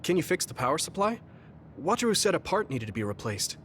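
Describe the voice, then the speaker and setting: An actor playing a kind, level-headed young man in an anime game, gentle and considerate. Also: a little high, big pitch swings, fast talker, a little hiss or hum. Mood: kind, calm.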